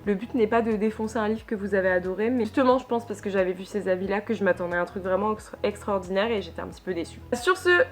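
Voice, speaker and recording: A young woman from northern France talks through a book review in a loose, chatty way. She hesitates often, restarting phrases with fillers. Her pitch sits in the middle, and faint music plays underneath. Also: fast. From Lille, northern France. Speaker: book vlogger. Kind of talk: casual book review monologue.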